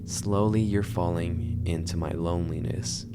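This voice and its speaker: Male narrator for horror explainer videos, very low register, clear music bed underneath. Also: steady tone, measured pace. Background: background music.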